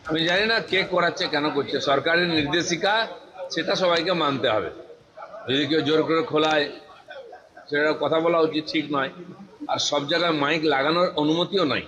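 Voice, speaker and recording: A man's voice: a little high, normal speed, low-quality recording. A man giving a spoken statement in a news clip. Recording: location clip with clear crowd noise.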